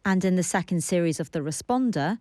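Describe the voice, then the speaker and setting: A woman's voice: lowish voice, lively intonation, fairly quick, a little mellow, dry recording. A woman with a British accent reading a scripted news report as a voice-over. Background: faint music.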